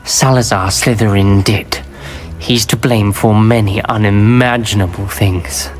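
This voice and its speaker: A man's voice: lowish voice, fairly even intonation, unhurried, lightly acted. Ominis, a man playing a somber young wizard. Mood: bitter. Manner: somber, weary and bitter. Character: Ominis, young male wizard student, grim and guilt-ridden. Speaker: game character. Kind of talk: video game acting.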